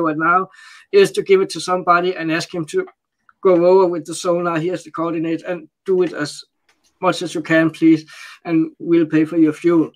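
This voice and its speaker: A man's voice: a little high, rough recording. A man from Denmark with a Danish accent speaking English as a second language in a casual interview, often searching for his words. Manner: halting, searches for words while translating. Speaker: interview guest. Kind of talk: casual unscripted interview talk.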